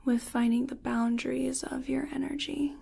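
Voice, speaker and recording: A woman speaking softly in a breathy whisper, gentle and unhurried, role-playing a reiki practitioner in an ASMR personal attention style. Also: sits high, level tone. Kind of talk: soft-spoken ASMR personal attention roleplay.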